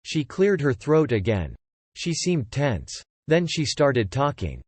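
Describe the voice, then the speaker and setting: A man's voice: medium pitch, huge pitch swings, slow pace, darkish tone, slightly rough, dry recording. A man narrating a scripted novel as an audiobook storyteller.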